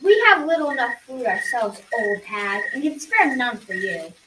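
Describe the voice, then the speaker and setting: A young female amateur playing a gruff, boastful, grumbling knight in a radio play. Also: a little high, sing-song, normal speed, reverberant. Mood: threatening. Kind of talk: amateur school radio drama acting. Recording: distant mic, noisy room, clicks.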